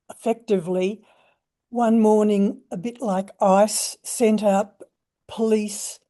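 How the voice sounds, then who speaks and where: A woman posing a long, deliberate question as an audience participant. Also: medium pitch, warm tone. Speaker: audience member asking a question.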